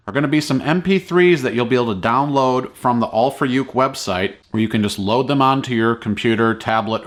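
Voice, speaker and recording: A man's voice: medium pitch, a bit bright. A man giving a casual, encouraging play-along ukulele tutorial in an easygoing, informal way. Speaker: ukulele teacher and online video host.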